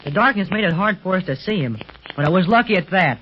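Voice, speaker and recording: A man's voice: sits high, lively intonation, fast. A male actor playing an eager, modest fifteen-year-old boy in a 1930s radio adventure serial, earnest and respectful. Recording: muffled, noisy 1930s radio recording.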